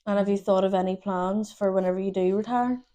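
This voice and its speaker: A woman's voice: medium pitch, fairly even intonation, quick pace, mellow. A woman hosting a podcast, asking curious questions and giggling often. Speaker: podcast host. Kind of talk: casual interview questions.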